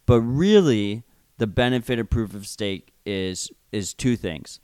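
A man's voice: fairly low pitch, lively intonation, unhurried, a little mellow, clear, resonant tone, dry recording. A man with a New York accent, co-hosting a casual podcast, speaking bluntly and swearing now and then. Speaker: podcast co-host.

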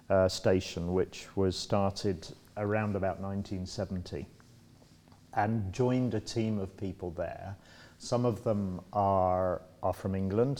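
An older man in his sixties with a very low voice and a warm, mellow tone. He talks through his answers at a relaxed, thoughtful pace, often restarting sentences and filling pauses. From Democratic Republic of Congo. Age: 60s. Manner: thoughtful, rambling with restarts and fillers. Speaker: interview guest. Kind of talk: casual interview.

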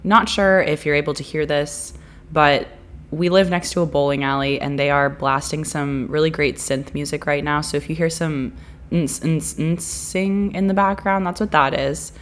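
A woman co-hosting a podcast with a notably low, deep-set voice. Her sore throat gives it a raspy, cracking edge. She chats casually and laughs easily at herself. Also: steady tone, moderate pace, a little hiss or hum. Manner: self-deprecating, laughing. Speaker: podcast co-host. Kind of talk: casual chat.

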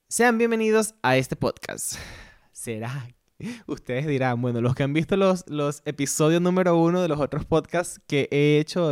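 A man's voice: fairly high pitch, smooth, dry recording, studio quality. A man in his thirties from Venezuela with a Venezuelan Spanish accent, hosting a casual solo podcast from a home set in a playful, self-mocking way and laughing often. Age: 30s. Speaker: podcast host.

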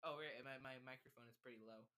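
Bright-voiced man thinking aloud at his desk. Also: medium pitch, steady tone, quick pace. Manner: rambling, thinking aloud, self-correcting; distracted, mutters words while writing them down. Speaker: video creator taking notes on a show. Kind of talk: casual live commentary while note-taking. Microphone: desk microphone, level changing during recording.

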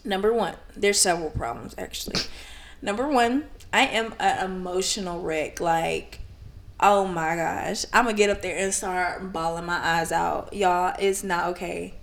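A woman's voice: fairly low pitch, measured pace, vocal fry. A young woman co-hosting a podcast in casual chat, rambling and self-conscious with a slight lisp, laughing often. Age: young adult. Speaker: podcast co-host.

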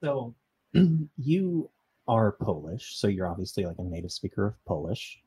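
A man's voice: on the low side, very animated, unhurried, dark tone, slightly rough, low-quality recording. A thirty-year-old man hosting a podcast, interviewing in a friendly, joking, upbeat way. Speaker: podcast host.

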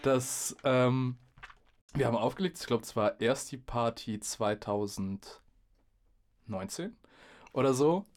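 A young adult man chatting casually as a podcast host and DJ, telling stories in a slangy, animated way and acting out what people said. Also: medium pitch, slow pace, long pauses. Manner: slangy, acts out quoted remarks. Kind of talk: casual chat, animated storytelling.

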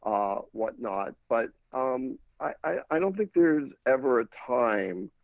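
A man's voice: medium pitch, animated, takes it slowly, dry recording. A man with an American accent, a talent manager, giving thoughtful answers in a casual interview with frequent restarts. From England. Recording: dry, tinny phone-like line.

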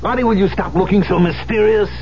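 An actor playing an embittered, agitated pianist in a theatrical radio drama style. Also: male voice, sits high, quick pace, lightly acted. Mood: agitated, disbelieving. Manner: theatrical, insistent. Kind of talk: 1970s radio drama acting. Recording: dull old radio drama recording, hum.

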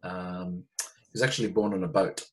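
Relaxed man. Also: deep voice. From New Zealand. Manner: unhurried, with frequent ums and restarts. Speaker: interview guest. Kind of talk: casual storytelling interview.

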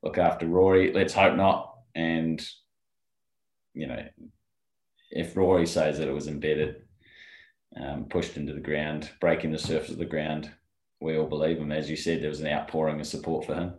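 Man, low pitch, explanatory, step-by-step, echoey room. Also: level tone, moderate pace, darkish tone. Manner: explanatory, lays out rulings step by step. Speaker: golf rules expert co-host. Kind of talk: casual chat.

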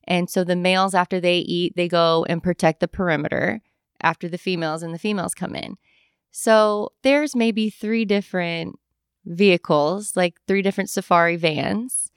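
A young adult woman chatting casually, telling animated travel stories and acting out what people said. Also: fairly low pitch, normal speed, smooth, no echo, clean studio sound. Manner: animated storyteller, acts out quoted voices. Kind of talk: casual chat.

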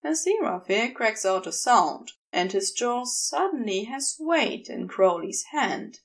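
A woman narrating a piece of fiction as an audiobook reader, with measured, intimate storytelling. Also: on the high side, expressive. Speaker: podfic reader.

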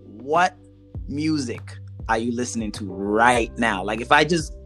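A man hosting a relaxed interview, from Zimbabwe, speaking in a laid-back way with casual slang and frequent restarts. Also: medium pitch, unhurried, soft background music. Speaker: podcast host.

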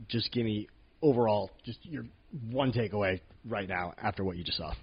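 A man hosting a casual sports podcast, talking with eager, excitable enthusiasm. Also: lowish voice, normal speed, husky, no room sound. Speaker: podcast host. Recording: dull lo-fi podcast audio.

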